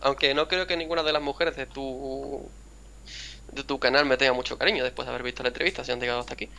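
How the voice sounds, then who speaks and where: Young male host, mild Andalusian accent, bright tone, rambling casual chat on a call. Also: mid-range voice, fairly even intonation, quick pace, gravelly. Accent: mild Andalusian Spanish. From Spain, a town near Seville. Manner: rambling, chatty, acts out quotes. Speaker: gaming channel host. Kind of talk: casual chat over a video game.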